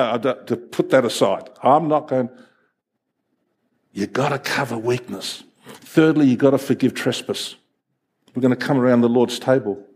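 A middle-aged male pastor, a native Greek speaker, preaching a sermon in an animated storytelling manner. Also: medium pitch, slightly rough. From Greek heritage, first language Greek. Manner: animated, storytelling with acted voices.

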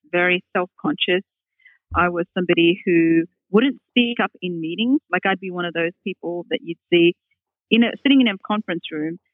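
A woman's voice: on the low side, dry recording. A middle-aged woman with an Australian accent, a public speaking coach answering interview questions in an articulate, upbeat way. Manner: articulate, upbeat, laughs readily. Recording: tinny phone line, dry.

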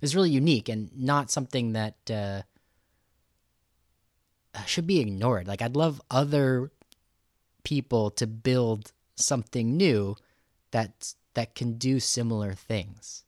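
A man's voice: mid-range voice, a little slow, long pauses, a little mellow, dry recording, studio quality. A man hosting a podcast interview in an enthusiastic, rambling, conversational way. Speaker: podcast host.

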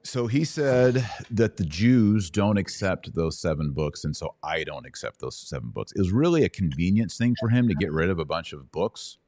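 Middle aged american guy, real deep voice, explains bible stuff like a chat, keeps checking you follow. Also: animated, moderate pace, few pauses, mellow, no room sound. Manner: explanatory, checks understanding with short questions. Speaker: host answering Bible questions. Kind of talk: conversational religious teaching.

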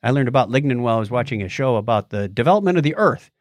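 A man's voice: medium pitch, lively intonation, rapid-fire, no room sound, clean studio sound. A man hosting a podcast, chatting casually and explaining science news in a conversational way. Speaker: podcast host.

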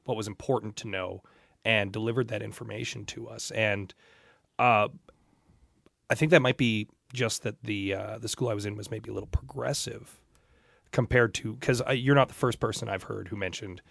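Gravelly, low-voiced man chatting thoughtfully. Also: fairly even intonation, moderate pace, fairly bright tone, dry recording, clean studio sound. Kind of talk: casual personal anecdotes.

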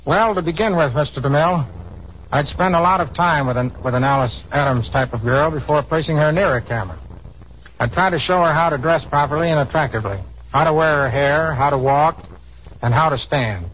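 A man's voice: a little high, fairly quick. A man giving formal, explanatory scripted answers as a guest expert in a 1930s radio interview. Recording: muffled 1930s radio broadcast, faint noise.

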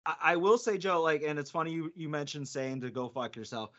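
A man co-hosting a podcast, telling an animated story in casual chat and laughing between lines. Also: on the high side, a little fast. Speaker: podcast co-host. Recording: dull lo-fi podcast audio.

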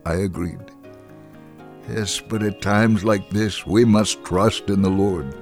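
A man reading a story in a dramatic, expressive way, acting out the quoted dialogue. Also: on the low side, slow, soft background music. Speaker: reader of a dramatized true story.

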